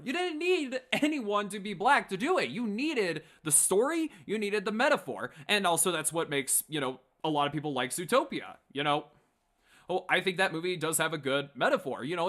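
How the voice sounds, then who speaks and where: A man's voice: on the high side, pushed and bright. A man hosting a casual, opinionated commentary show, talking informally in a rambling, stop-start and emphatic way. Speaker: host of a late-night pop culture commentary show.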